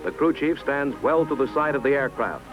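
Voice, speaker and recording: A man's voice: mid-range voice, lively intonation, normal speed, over music. A man reading scripted 1960s military training film narration in a formal, instructional way. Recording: dull vintage film soundtrack.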